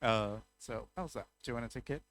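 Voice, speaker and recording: A young man from Chile giving a conference talk, speaking haltingly and restarting his sentences. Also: medium pitch, a bit bright. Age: young adult. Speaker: youth climate speaker.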